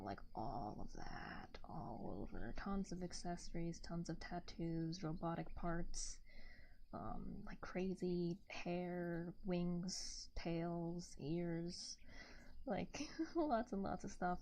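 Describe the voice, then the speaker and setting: Slow, gentle woman in casual ASMR chat. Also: fairly low pitch, expressive. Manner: soft-spoken, gentle, rambling to viewers. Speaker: livestreaming artist and host. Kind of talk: casual chatty ASMR art livestream. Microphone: close binaural ear microphone. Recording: binaural ASMR mic with background noise.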